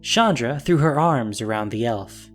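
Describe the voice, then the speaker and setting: A man's voice: medium pitch, expressive, measured pace. Male fantasy narrator over music. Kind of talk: scripted fantasy story narration.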